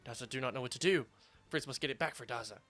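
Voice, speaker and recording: A hoarse man reading out a fretful little creature trader. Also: medium pitch, fast, fairly bright tone, faint music behind, lightly acted. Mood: fretful pleading. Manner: broken childlike speech, refers to himself by name. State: hoarse after surgery.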